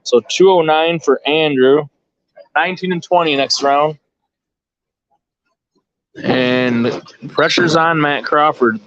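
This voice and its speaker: A man's voice: on the high side, slow pace, long pauses, fairly bright tone, no room sound. A man giving casual, off-the-cuff live sports commentary, informally thinking aloud as he follows the play. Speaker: bowling tournament commentator and organizer. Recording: dull, lo-fi live stream audio.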